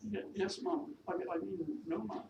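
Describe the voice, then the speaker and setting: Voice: mid-range voice, fairly even intonation, unhurried, creaky, highs cut off, voice pushed up. A reader voicing a meek but determined young maid with an attempted Welsh accent, polite, hesitant and deferential, as in a period novel. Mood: timid, earnest. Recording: noisy echoey room, distant mic.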